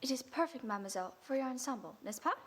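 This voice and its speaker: A woman playing a chatty, flattering French lady's maid who speaks broken English with a French accent, in an audio drama. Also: high voice, rapid-fire, breathy edge. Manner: lively, flattering. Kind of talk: radio drama acting.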